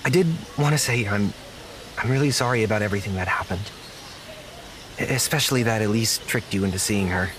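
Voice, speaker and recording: An actor playing a naive, apologetic young zookeeper in an audio drama, speaking earnestly with halting stammers. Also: male voice, lowish voice, fairly bright tone, a little hiss or hum, close to an everyday voice. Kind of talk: naturalistic audio drama acting.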